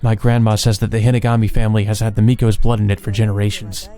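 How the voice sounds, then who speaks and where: A man voicing a calm young woman in a visual novel, plain and matter-of-fact in how she talks. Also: fairly low pitch, fast talker, faint music behind, natural voice.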